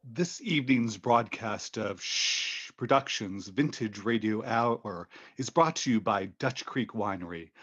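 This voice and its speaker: A man reading a scripted radio sponsor advertisement in a promotional, measured announcer's manner. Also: mid-range voice, husky, muffled recording.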